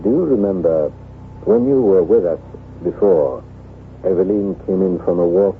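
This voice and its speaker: A troubled nobleman in a vintage radio play. His low voice moves freely in pitch as he speaks with formal, deliberate gravity, through a narrow, tinny broadcast sound. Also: sing-song, vocal fry, full character voice. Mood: grave, hesitant. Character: troubled French nobleman, grave devoted husband. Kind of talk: 1970s radio drama acting. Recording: tinny narrow-band old radio, faint noise.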